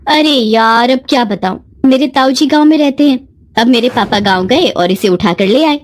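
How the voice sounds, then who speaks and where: Woman acting spoiled young daughter, high pitch, scornful, defiant, haughty complaining, storybook drama. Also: moderate pace, slight background noise, lightly acted. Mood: annoyed, mocking.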